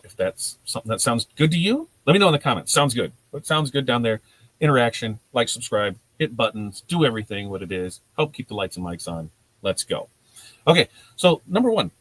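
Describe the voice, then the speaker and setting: A man hosting a casual livestream lesson, speaking informally and haltingly, often checking in with his listeners. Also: fairly low pitch, husky, rough recording. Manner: informal, halting, conversational, often checks in with "you know" and "right". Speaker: host and presenter of a livestream marketing talk for makers. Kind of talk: casual livestream teaching talk to viewers in a chat.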